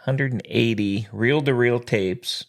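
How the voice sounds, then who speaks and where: A man's voice: mid-range voice, takes it slowly, gravelly. A man co-hosting a podcast in casual chat, rambling and trailing off, laughing often. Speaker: podcast co-host.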